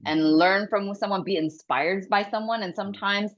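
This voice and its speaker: A woman hosts a podcast interview, warmly encouraging her guest and affirming what he says. Her voice sits at a middle pitch. The recording sounds a little dull and lo-fi. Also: normal speed. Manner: warm, encouraging, affirming with frequent backchannels. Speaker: podcast host, communication coach. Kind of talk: casual interview talk.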